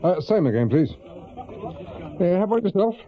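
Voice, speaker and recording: An actor playing a cautious, seasoned private investigator with an English accent, speaking hesitantly and matter-of-factly in a radio drama. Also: male voice, high-pitched, big pitch swings, normal speed, lo-fi sound, a little hiss or hum. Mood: genial, feigning. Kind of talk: naturalistic British radio drama dialogue.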